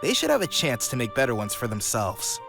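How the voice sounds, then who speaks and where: A man's voice: medium pitch, huge pitch swings, brisk, vocal fry, a bit bright, faint music behind, full character voice. A man voicing an upbeat, forgiving young cartoon animal hero with an easygoing, earnest manner, in a comic dub.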